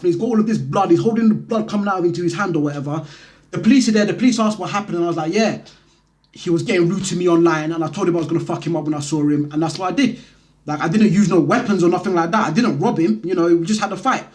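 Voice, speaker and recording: High-voiced London man telling stories. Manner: conversational, slangy, animated retelling. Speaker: vlog host. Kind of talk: casual personal storytelling.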